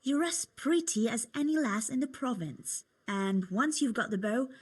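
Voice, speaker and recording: A woman's voice: on the high side, expressive, normal speed, slightly rough. A young woman playing a cheerful, teasing medieval tavern girl in a video game, chatty and coaxing. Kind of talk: video game cutscene acting.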